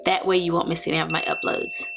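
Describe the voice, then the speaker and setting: A woman in her forties, hoarse from allergies, chatting casually as a beauty vlogger. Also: fairly low pitch, wildly swooping pitch, fast, music in the background. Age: 40s. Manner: chatty, laughs often. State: raspy from allergies. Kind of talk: casual haul vlog.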